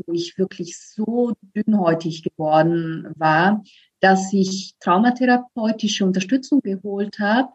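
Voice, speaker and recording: Dull-sounding recording of a woman guest. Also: mid-range voice. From Italy. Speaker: podcast guest, voice trainer. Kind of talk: reflective conversational interview.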